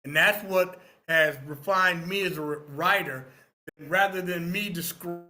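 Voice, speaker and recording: A man's voice: sits high, measured pace, pushed and bright. A man giving opinionated feedback in a workshop discussion, emphatic and instructive, repeating his points to drive them home. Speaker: participant in a screenwriting critique.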